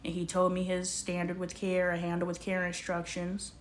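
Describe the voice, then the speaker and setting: Animated woman chatting live to viewers. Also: lowish voice, normal speed, slight background noise. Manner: animated, slangy, talks straight to the chat. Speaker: livestream host. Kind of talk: casual livestream chat with viewers.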